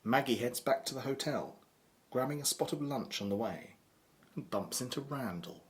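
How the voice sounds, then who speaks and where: A man's voice: medium pitch, animated, normal speed, slight background noise. A man narrating an audio drama in a scripted storytelling style.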